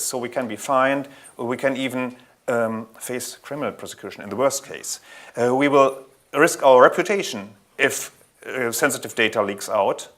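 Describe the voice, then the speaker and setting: Man, German accent, conference speaker, technical talk, earnest explaining, frequent self-corrections, middle pitch. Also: fairly bright tone.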